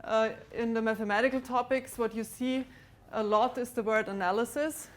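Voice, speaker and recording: A woman giving a maths lecture to students in a large lecture theatre, pausing often with ums and restarts. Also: on the high side, steady tone. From Austria. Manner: hesitant, many ums and restarts, walks about with a pointer. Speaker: mathematics lecturer.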